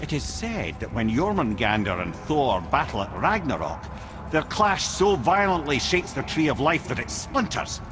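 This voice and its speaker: An actor playing a witty, worldly old sage, telling tales in a theatrical, wry and lyrical way in a video game. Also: male voice, mid-range voice, big pitch swings, moderate pace, noisy background, natural voice. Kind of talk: dramatic game storytelling.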